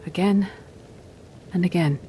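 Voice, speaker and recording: A woman playing a wise, weary Jedi master in a video game, measured, solemn and cryptic. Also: on the low side, warm tone, slight background noise, natural voice. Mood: solemn, calm.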